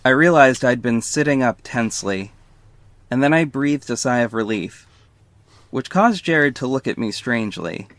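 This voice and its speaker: A man's voice: mid-range voice, lively intonation, moderate pace, a bit bright, dry recording, natural voice. Man as a wry confiding narrator, close and dry. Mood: guarded. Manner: confiding, snarky asides. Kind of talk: first-person scripted narration in audio drama.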